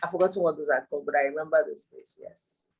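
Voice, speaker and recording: A Nigerian woman in her thirties, a poet and author, recalling her childhood over a video call, pausing to search for words. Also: deep voice, steady tone, slightly rough. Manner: thoughtful, searching for words with frequent ums. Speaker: interviewee, performance poet and author. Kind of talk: remote interview, casual reminiscing.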